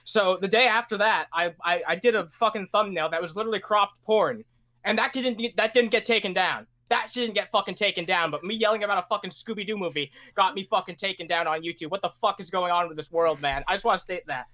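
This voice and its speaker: A man with a bright, sharp voice vents on a podcast, swearing freely and piling up restarts. He talks in quick, heated bursts. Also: high-pitched. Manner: profane, ranting, fast bursts. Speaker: guest. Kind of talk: casual podcast chat.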